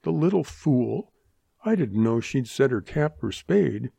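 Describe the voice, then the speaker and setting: A man's voice: medium pitch, big pitch swings, unhurried, creaky, dark tone, husky, no room sound, heavily acted. A man voicing a spirited, teasing and earnest young woman in an audiobook western. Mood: amused, indignant.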